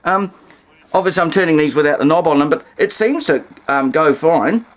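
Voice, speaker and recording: A New Zealand man talks in a fairly high voice. He rambles casually through a hands-on demonstration with a wry sense of humour. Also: a little fast. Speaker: hobbyist presenter.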